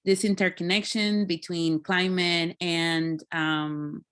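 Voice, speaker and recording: A woman giving an informal online presentation as a guest speaker, thoughtful and halting, with many small filler phrases. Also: lowish voice, little pitch movement, fairly bright tone, clean tone. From Puerto Rico. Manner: halting, thoughtful, peppered with "like" and "you know". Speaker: guest speaker, climate scientist. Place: video call.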